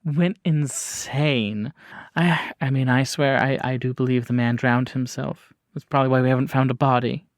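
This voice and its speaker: A man's voice: medium pitch, fairly even intonation, normal speed, no room sound, natural voice. Guy doing a snooty rich married lady for a tabletop game, airy and oh so polite, dry close sound. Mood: airy, dismissive. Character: genteel, haughty society wife, smugly polite.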